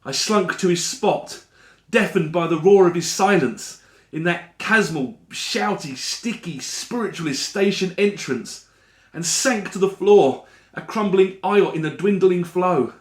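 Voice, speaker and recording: Theatrical man, slightly high, echoey room. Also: animated. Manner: theatrical, deliberate storytelling. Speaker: actor reading a monologue. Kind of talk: dramatic rhyming monologue reading. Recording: roomy echo around the voice.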